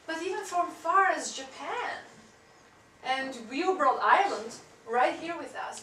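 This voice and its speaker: A woman interviewing a theater cast, gushing with praise and thanks. Her voice sits fairly high and sounds bright. She is heard from a distance in an echoey room. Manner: effusive, warm praise and thanks. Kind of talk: enthusiastic interview and sign-off. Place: small theater venue indoors. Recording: echoey room from far off, noise.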